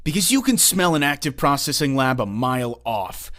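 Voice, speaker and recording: A man's voice: medium pitch, very animated, normal speed, fairly bright tone. A man playing a confident, commanding young costumed vigilante in a comic dub, cool, assured and condescending. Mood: calm, commanding. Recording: faint music behind the voice.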